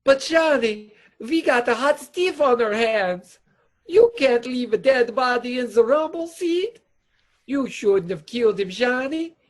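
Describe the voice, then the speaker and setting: A woman's voice: a little high, lively intonation, a little slow. A woman playing a nervous, tipsy criminal doctor who placates hesitantly in broken English, in a radio stage comedy. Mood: anxious.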